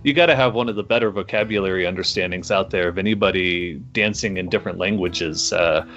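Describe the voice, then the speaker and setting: A man's voice: deep voice, fast, fairly bright tone, muffled recording. A man hosting a casual conversation, easygoing and chatty, with light laughs.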